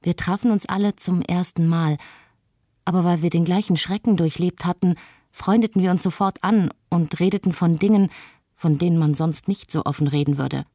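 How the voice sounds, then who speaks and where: A woman playing a frightened young mother, telling her testimony calmly and reflectively in a radio play. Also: fairly low pitch, moderate pace, no room sound, clean studio sound. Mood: subdued, reflective.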